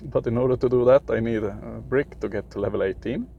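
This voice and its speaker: A young man from Sweden with a Swedish accent, for whom English is a third language, giving casual gameplay commentary in a halting way, often searching for words. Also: medium pitch, gravelly, highs cut off, a little hiss or hum. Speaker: gaming video creator.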